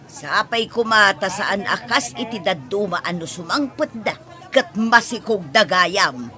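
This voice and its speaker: A woman's voice: medium pitch, animated, a little slow, sharp tone. A woman with an Ilocano accent voicing a gossiping middle-aged neighbor in a radio drama. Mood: gossipy. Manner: chatty, gossiping.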